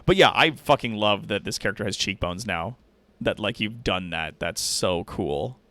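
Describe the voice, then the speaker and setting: A man's voice: on the low side, animated, normal speed, fairly bright tone, no echo. A man hosting a livestream in a casual, rambling, chatty way, informal and given to swearing. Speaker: livestream host.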